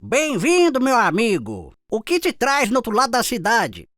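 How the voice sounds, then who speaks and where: High-pitched humble country mouse. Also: female voice, huge pitch swings, moderate pace, dry recording, faint music behind. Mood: friendly, eager. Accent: Brazilian. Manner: friendly, earnest, exclamatory. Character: humble, friendly male country mouse, timid and peace-loving. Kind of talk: children's cartoon fable dubbing.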